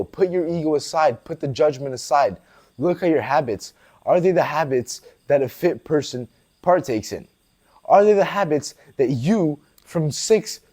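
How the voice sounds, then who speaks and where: A man's voice: fairly high pitch, dark tone. A man, a fitness coach answering viewer questions in a blunt, casual way, swearing and calling the viewer bro. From Portugal. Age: 20s. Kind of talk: casual Q&A vlog.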